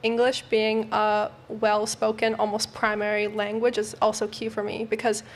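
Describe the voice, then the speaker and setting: A woman's voice: medium pitch, monotone, normal speed. A woman chatting casually as a panelist on a podcast. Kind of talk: casual chat.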